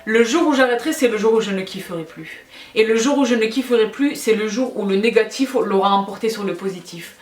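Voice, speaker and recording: A woman's voice: mid-range voice, fairly quick. A woman chatting casually and affectionately to her followers as a video host, emphatic and given to repeating her phrases. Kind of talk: casual question-and-answer chat to followers. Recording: echoey, roomy sound.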